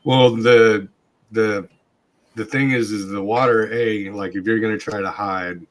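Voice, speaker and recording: A man hosting a podcast in casual chat, his voice hoarse and scratchy from a long night of talking. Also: lowish voice, fairly even intonation, measured pace. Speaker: podcast host.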